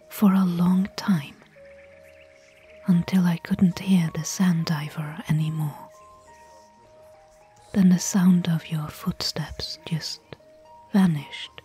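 A woman playing a caring, worried fantasy expedition companion in a soft, breathy, intimate ASMR whisper. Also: on the low side, heavily acted. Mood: worried, relieved. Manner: intimate, gentle, slow and hushed. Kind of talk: soft-spoken ASMR roleplay.

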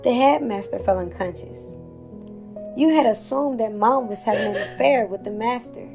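A woman's voice: fairly high pitch, moderate pace, vocal fry, music in the background. A woman playing an earnest teenage daughter voicing her letter in an audio drama. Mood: earnest, sorrowful.

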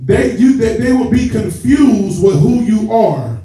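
A man's voice: sits high, lively intonation, a little slow, warm tone, soft background music. Muffled, echoey male preacher from far off. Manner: conversational, addresses listeners directly, stretches key words for emphasis. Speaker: preacher, church elder. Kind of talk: sermon to a congregation. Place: church sanctuary with stage and rows of seats. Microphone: far camera at back of hall.

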